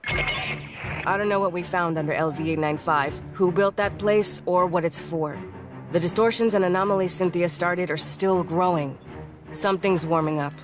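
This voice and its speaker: A woman's voice: on the low side, steady tone, normal speed, over music, lightly acted. A woman playing a stern military commander in a video game, direct and authoritative. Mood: stern, urgent.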